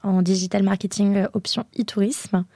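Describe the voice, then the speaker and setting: A woman in her twenties, a podcast guest speaking casually and eagerly, often pausing on fillers. Her tone is mellow and warm at a middle pitch. The recording is close and dry. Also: flat delivery, gravelly, dry recording. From Andorra. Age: 20s. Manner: hesitant, many fillers, enthusiastic. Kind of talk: casual interview chat.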